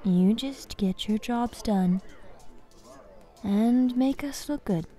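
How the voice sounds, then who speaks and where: A woman playing a bossy but caring young fighters guild master in an ASMR fantasy roleplay, soft-spoken and commanding. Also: a little high, soft background music. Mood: stern. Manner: soft-spoken, commanding, frequent sighs and pauses.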